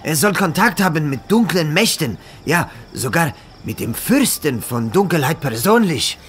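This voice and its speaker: Superstitious Egyptian servant, audio drama: high-pitched, urgent, broken speech. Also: male voice, sing-song, normal speed, a bit bright, a little hiss or hum. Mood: fearful warning. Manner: broken grammar, urgent warning. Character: anxious, superstitious Egyptian manservant. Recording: music under the voice.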